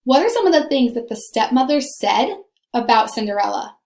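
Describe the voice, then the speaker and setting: A woman teacher with a high voice talks a class of young children through a story. She is conversational, repeats key points for emphasis and puts questions to her listeners. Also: sing-song, normal speed, a little mellow, roomy sound. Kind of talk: children's read-aloud lesson discussion.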